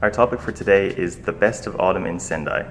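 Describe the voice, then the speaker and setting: An Irish man co-hosts a radio program in a relaxed, chatty way, full of ums and likes. His voice sits very low and he talks fast. Soft music plays faintly behind him. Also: roomy sound. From Ireland. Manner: relaxed, chatty, many fillers. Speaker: radio co-host. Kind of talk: casual radio chat.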